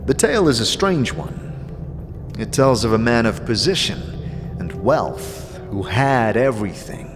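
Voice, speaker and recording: A man playing a calm, grave wanderer and narrating in a measured, brooding way in a fantasy audio drama. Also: medium pitch, lightly acted. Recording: long reverb, music underneath.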